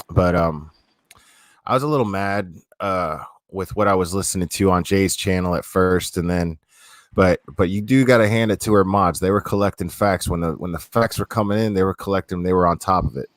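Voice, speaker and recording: A man's voice: low-pitched, steady tone, moderate pace, no echo. A young man hosting a livestream, talking casually and rambling, repeating himself and swearing now and then. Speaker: livestream host.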